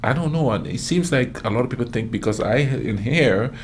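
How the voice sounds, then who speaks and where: A man's voice: medium pitch, expressive, fairly quick, a little hiss or hum. A middle-aged man with a Caribbean accent, hosting a reaction video and chatting casually, with plenty of fillers and restarts. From Grenada. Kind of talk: casual chat reacting to clips.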